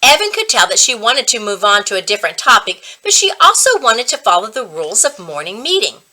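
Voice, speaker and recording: A woman reading a children's book aloud as a reading coach, with expressive, dramatic storytelling. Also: a little high, a little fast.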